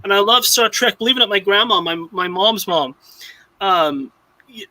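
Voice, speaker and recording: A man's voice: high-pitched, lively intonation, normal speed, pushed and bright, low-quality recording. A young man from Southern California chatting casually on a live stream, rambling with many restarts and fillers. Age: young adult. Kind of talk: casual chat.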